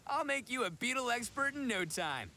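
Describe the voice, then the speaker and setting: A goofy, boastful young man in an anime game. His voice sits high and leaps around with theatrical swagger. The talk is slangy and full of bragging. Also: very animated, normal speed, pushed and bright, slight background noise, full character voice. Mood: cocky. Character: brash, boastful young man, goofy self-proclaimed beetle expert.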